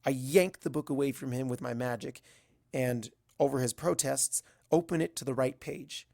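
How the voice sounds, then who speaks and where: A man's voice: medium pitch, normal speed, gravelly, dark tone. A man reading a first-person story aloud as scripted narration. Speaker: fan fiction reader.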